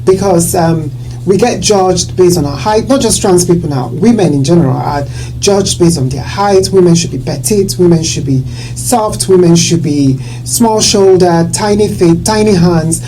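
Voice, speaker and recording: A woman hosting a talk show discussion, earnest and quick to agree with her guest. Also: fairly low pitch, warm tone, a little hiss or hum, poor audio. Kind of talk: casual discussion.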